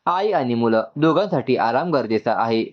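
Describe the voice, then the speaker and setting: A man's voice: medium pitch, animated, normal speed, muffled recording, close to an everyday voice. A man voicing a polite, cautioning female hospital nurse in a narrated story. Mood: polite, firm.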